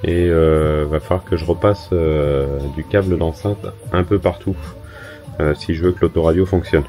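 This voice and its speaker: A man with a very deep, mellow voice, rough and hoarse from being a little sick. He talks through his DIY van build in a loose, relaxed way, stopping often on hesitant filler sounds. Soft music plays faintly behind him. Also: normal speed. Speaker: vanlife vlogger. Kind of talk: casual DIY vlog talk. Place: inside his converted bus.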